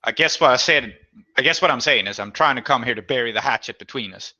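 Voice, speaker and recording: A man playing a gruff, streetwise gang man in a tabletop game, plain-spoken and halting as he offers a truce. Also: mid-range voice, sharp tone, dry recording, dull audio. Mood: earnest, coaxing. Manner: folksy, plain-spoken, halting, repeating himself. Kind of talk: improvised tabletop roleplay.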